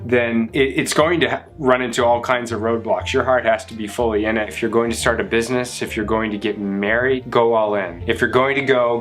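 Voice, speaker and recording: A man talking casually and earnestly as a guest on his partner's video channel. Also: medium pitch. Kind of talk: casual chat.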